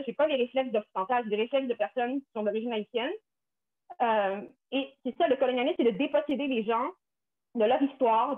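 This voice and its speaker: A young woman from Quebec with a Québécois accent, speaking earnestly on a panel, restarting and repeating words often. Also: sits high. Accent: Québécois French. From Canada, Quebec. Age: young adult. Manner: earnest, many restarts and repetitions. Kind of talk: panel discussion, personal testimony. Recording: tinny phone-like line.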